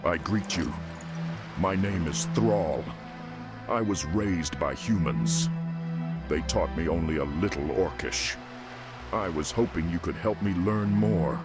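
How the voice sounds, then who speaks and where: A man's voice: deep voice, slow pace. A man playing an earnest, determined young orc escaped from slavery, speaking formally in a game cutscene. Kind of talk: dramatic game cutscene acting. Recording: music under the voice.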